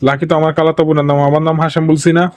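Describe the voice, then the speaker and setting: A man's voice: a little high, level tone, racing, no room sound, close to an everyday voice. A man playing a wily, blunt local in a comic phone call, speaking colloquial Bangladeshi Bengali with a Chittagonian accent in a questioning way. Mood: mock-naive, bargaining. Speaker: prank call recipient.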